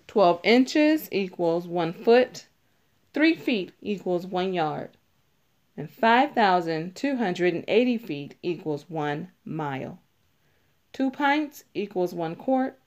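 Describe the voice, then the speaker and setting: A woman reading a children's picture book aloud, deliberately, stressing key words as she teaches. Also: lowish voice, darkish tone. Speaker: read-aloud narrator.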